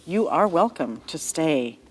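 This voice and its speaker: Woman reading a calm tender teacher, low and unhurried, for a children's storybook. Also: husky, full character voice. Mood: gentle. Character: Jesus, a gentle, kind grown man teacher.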